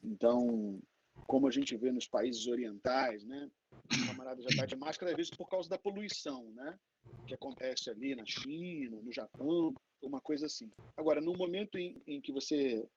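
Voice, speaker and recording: A man from Rio, a guest professor answering in a candid, conversational and halting way, often checking for agreement. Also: medium pitch. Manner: candid, halting, frequently seeking agreement with tags. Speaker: invited professor answering questions. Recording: dull lo-fi audio with faint noise.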